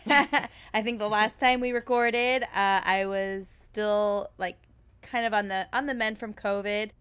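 A woman with a hoarse, raspy voice that is still on the mend, co-hosting a casual true crime chat. She talks in a chatty, animated way as she relays a story. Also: medium pitch, slow pace. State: hoarse, recovering voice. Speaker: podcast co-host.

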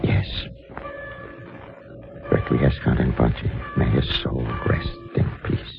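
Deep, slow, solemn priest. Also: male voice, level tone, gravelly, loud music behind the voice, heavily acted. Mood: prayerful, sorrowful. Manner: grave, measured, gentle. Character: solemn, compassionate male mission priest, fatherly. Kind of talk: 1950s radio drama acting. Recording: muffled, lo-fi 1950 radio recording.

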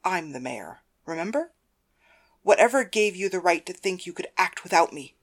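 A woman's voice: on the low side, moderate pace, natural voice. A woman voicing a domineering, controlling wife with a stern, commanding manner in audiobook dialogue. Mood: cold anger. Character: domineering, controlling wife and mistress interrogating her husband.

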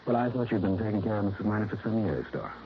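An actor playing a hard-boiled insurance investigator, narrating dryly and wryly in a 1950s radio drama. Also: male voice, on the low side, animated, racing, slight background noise. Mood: probing. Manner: dry, clipped, wry. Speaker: narrator and lead. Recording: muffled old radio broadcast with music.

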